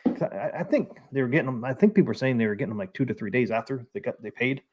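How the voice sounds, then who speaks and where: A man's voice: mid-range voice, lively intonation, racing, a little mellow, slightly rough. A man hosting a casual live stream, chatting and reading out viewer comments. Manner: easygoing, reads out viewer comments.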